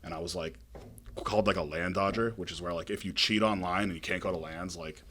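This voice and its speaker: A young man with a deep voice gives a relaxed, rambling account as a podcast guest, full of fillers. His tone is bright and forceful, and his pitch sits low. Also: fast, a little hiss or hum. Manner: relaxed storytelling with many fillers. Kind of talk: casual podcast conversation.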